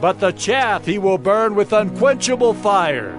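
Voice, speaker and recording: A male actor as a fiery desert prophet with a high, widely swinging voice. He declaims stern warnings in audio Bible drama style, with faint music underneath. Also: animated, a little slow. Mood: fervent, warning. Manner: declamatory, admonishing.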